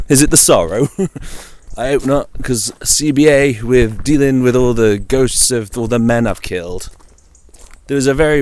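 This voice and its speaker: Low-pitched British man, dry and wry. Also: darkish tone. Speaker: video game vlogger. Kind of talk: informal solo chat about games.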